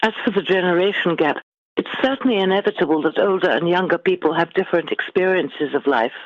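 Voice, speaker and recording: A middle-aged woman guest author speaking in measured, formal, articulate phrases in a literary interview. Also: fairly low pitch, dry recording. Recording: dull, lo-fi band-limited sound.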